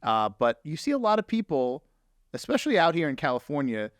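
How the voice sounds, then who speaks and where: A man's voice: fairly high pitch, big pitch swings, brisk, clean tone, dry recording, clean studio sound. A man from New Jersey hosting a podcast in casual chat, talking loosely with lots of filler words. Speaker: podcast host.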